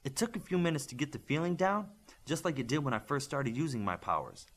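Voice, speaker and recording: A man plays an eager young male superhero in an audiobook. The voice is lifted and lively, telling things in an animated, friendly and slightly wry way. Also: mid-range voice, fast talker, voice pushed up. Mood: excited. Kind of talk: audiobook character dialogue.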